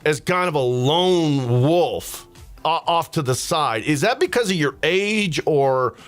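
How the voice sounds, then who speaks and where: A man's voice: a little high, expressive, slow, clear, resonant tone, soft background music. A young man from Texas hosting a casual comedy podcast, rambling and joking with lots of fillers. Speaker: podcast host, radio personality.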